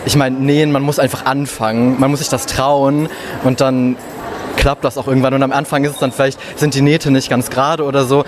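A man's voice: medium pitch, flat delivery, brisk. A young man from Berlin giving a casual interview as a cosplayer. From Berlin, Germany. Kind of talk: casual chat. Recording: clear outdoor-like background noise.

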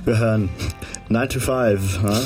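Low-voiced joking male radio host. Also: measured pace, darkish tone, soft background music. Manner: playful, teasing, mixes in English words. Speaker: radio morning show host. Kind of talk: casual joking radio chat.

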